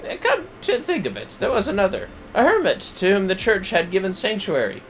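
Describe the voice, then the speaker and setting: A noisy recording carries a man putting on a pompous, excitable male scholar from a fantasy game. He talks in florid, theatrical phrases, his pitch leaping high and swooping. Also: a little fast, exaggerated voice. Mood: gleeful, musing. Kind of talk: acted reading of fantasy game dialogue.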